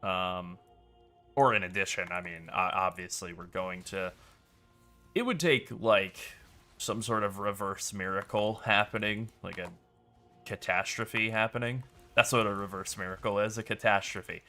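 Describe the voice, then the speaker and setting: Bright, clear-voiced man streaming a game. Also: on the low side, measured pace, faint music behind. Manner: relaxed, rambling, many "like" fillers. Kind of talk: casual gameplay commentary.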